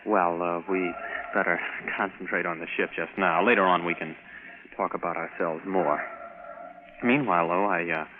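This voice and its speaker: A man's voice: sits low, fairly even intonation, moderate pace, noisy background, natural voice. A man with an American accent playing a confident, easygoing young rocket captain in a 1950s radio drama. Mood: bashful, casual. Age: young adult. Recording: vintage radio, narrow band, music behind.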